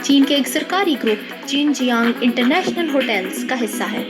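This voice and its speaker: A Pakistani woman reading a scripted news-style feature in a formal, measured way. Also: high-pitched, lively intonation. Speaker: voice-over student. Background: music.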